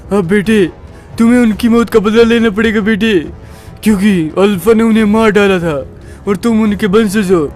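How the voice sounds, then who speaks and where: A man's voice: high voice, a little mellow, lightly acted. A man playing an earnest older family friend, fatherly and insistent, in a melodramatic story. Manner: pleading, insistent.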